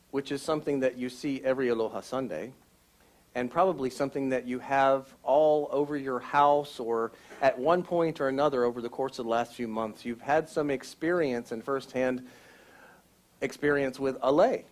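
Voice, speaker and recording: A man's voice: medium pitch, darkish tone. Man giving a Sunday church message. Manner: explanatory, teaching tone. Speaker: church speaker. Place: church sanctuary.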